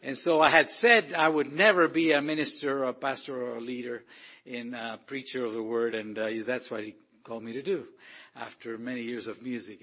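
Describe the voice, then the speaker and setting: A man's voice: mid-range voice, breathy edge, dull audio. A man from Argentina, director of a ministry, giving an informal testimony to a congregation with many fillers and restarts. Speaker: guest speaker, ministry director.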